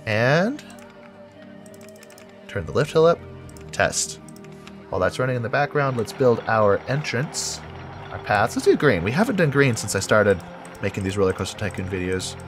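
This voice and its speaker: A man with a head cold talks through his building with a stuffy, nasal voice, his pitch rising and falling a lot. He thinks aloud, chuckles and corrects himself as he goes, with clear game music running under him. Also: big pitch swings, moderate pace, long pauses. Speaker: game video creator. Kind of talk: casual gameplay commentary.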